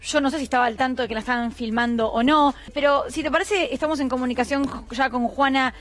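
High-voiced Argentine woman reporting on radio. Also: quick pace. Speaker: radio columnist. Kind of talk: news report to a co-host.

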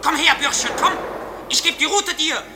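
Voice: high voice, moderate pace, close to an everyday voice. An actor playing a gleeful, taunting male forest sprite in a classic German radio play. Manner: playful, taunting, theatrical verse delivery. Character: gleeful mischievous male forest sprite, servant to fairy king. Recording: reverberant hall, noisy radio play production.

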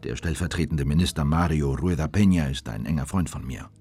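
An actor playing a grave official who recounts a manhunt in measured, formal radio drama narration. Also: male voice, very deep voice, darkish tone. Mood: matter-of-fact. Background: music.

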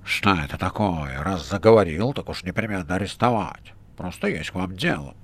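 A man's voice: deep voice, expressive, moderate pace, breathy edge, exaggerated voice. A man voicing a short, brash and cheeky red-haired man with a fang, sly and offhand in an audiobook. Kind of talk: audiobook character reading.

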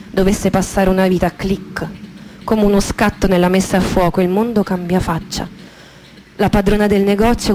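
A woman's voice: medium pitch, warm tone, slight background noise, lightly acted. An actress playing an intense, wounded woman who recalls her poor childhood in a theatrical radio monologue. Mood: bitter, wounded. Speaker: stage actress reading an adapted memoir. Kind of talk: dramatic first-person literary monologue for radio theatre.

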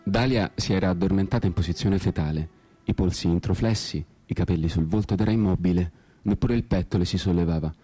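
A man's voice: low-pitched, quick pace, warm tone, husky. A man performing a dramatic literary monologue as a radio theatre storyteller, with an ironic, brooding, theatrical manner. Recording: guitar music behind the voice. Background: guitar music.

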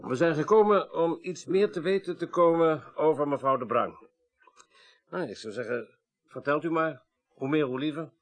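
An actor playing a courteous, observant investigator in a radio play, questioning politely in measured, formal phrases. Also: male voice, fairly high pitch, natural voice. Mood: courteous, probing. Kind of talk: 1980s radio play acting.

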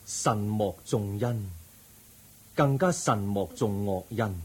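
A husky, solemn Cantonese Buddha. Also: male voice, lowish voice. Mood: solemn, compassionate. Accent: Hong Kong Cantonese. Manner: solemn, measured preaching. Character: calm, compassionate male Buddha counselling an aggrieved believer. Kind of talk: Cantonese Buddhist radio drama acting.

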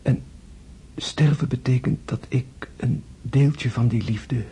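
An actor playing a gravely wounded prince in a radio drama, tender and philosophical, speaking in hushed, halting, weakened tones. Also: male voice, mid-range voice, fairly even intonation, heavily acted. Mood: tender, yearning. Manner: hushed, halting, intense delivery, often whispering. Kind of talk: Dutch radio drama acting.

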